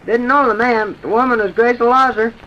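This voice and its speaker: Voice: mid-range voice, steady tone, fairly quick, sharp tone, close to an everyday voice. A performer playing an earnest, respectful twelve-year-old boy in an old-time radio drama, speaking plainly. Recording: dull, noisy vintage radio recording.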